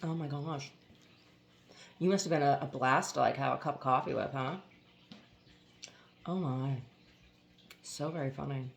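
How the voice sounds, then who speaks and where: A woman reading a novel aloud, pausing between chapters for relaxed, chatty comments. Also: rumbling low, vocal fry. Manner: expressive reading, laughs at funny passages. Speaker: audiobook reader and channel host.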